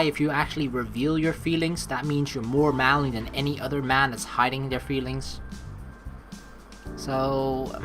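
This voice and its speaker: A woman hosting a let's play, reading game text aloud and adding chatty, informal commentary. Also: low-pitched. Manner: animated, informal, chatty asides.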